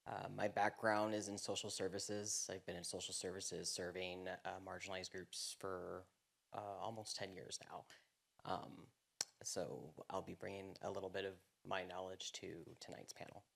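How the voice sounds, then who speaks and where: A man with a deep, flat, gravelly voice introduces himself on a panel. He hesitates often and uses many fillers. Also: normal speed, slight background noise. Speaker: social services worker, panelist. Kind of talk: self-introduction.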